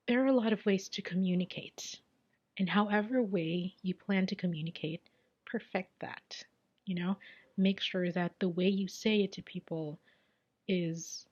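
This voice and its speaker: A woman's voice: lowish voice, muffled recording. A Filipino woman, native in Tagalog, talking casually as a tarot reader giving advice, repeating phrases for emphasis and often dropping to a near whisper. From Philippines.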